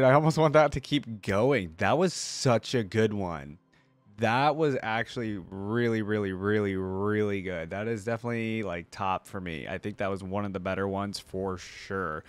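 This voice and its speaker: A man's voice: fairly low pitch, animated, moderate pace, dry recording. A man hosting a reaction video, giving casual, exclamatory commentary and repeating words for emphasis. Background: music playing behind the voice.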